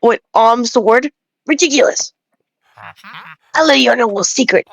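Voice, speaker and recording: A woman's voice: a little high, moderate pace, creaky, no room sound. A woman reading aloud as an opinionated, folksy male bread enthusiast who rambles and grumbles through game dialogue. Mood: opinionated, boastful.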